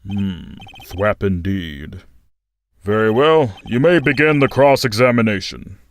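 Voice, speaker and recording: A man's voice: fairly low pitch, unhurried. A man voicing a stern older judge in a video game dub, formal and authoritative. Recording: music under the voice.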